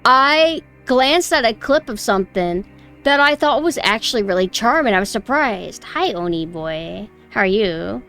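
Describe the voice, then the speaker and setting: A young woman with a high voice, chatting casually and snarkily on a stream, her close mic clipping on loud peaks. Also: animated, unhurried, soft background music. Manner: chatty, rambling, mockingly snarky. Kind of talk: casual reaction stream chat. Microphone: close mic that clips loud peaks.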